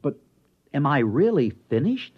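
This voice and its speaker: An actor playing an anxious, ambitious husband who narrates his own story in old-time radio drama style, excitable and theatrical. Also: a little high, a little slow, husky, exaggerated voice. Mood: stunned. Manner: excitable, theatrical, stammering when rattled. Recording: dull, lo-fi old radio recording.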